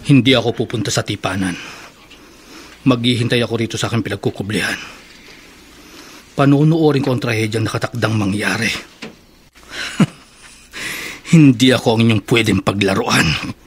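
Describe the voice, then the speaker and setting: A man's voice: on the low side, normal speed, pauses often, slight background noise, lightly acted. A man playing a menacing, possessive schemer in a radio drama, with a threatening, theatrical delivery. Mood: gloating, possessive. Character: menacing, possessive male schemer lying in hiding.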